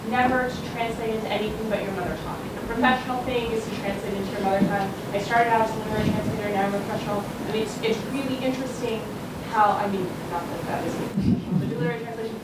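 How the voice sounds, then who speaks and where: A woman in the audience asking a panel a question, quick and earnest. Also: steady tone. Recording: distant echoey hall with loud rumble.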